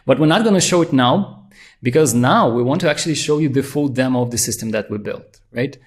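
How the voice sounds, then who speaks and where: A man presenting a software tutorial, walking through a demo in excited, fast talk full of restarts. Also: fairly high pitch. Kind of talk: screen-share software walkthrough.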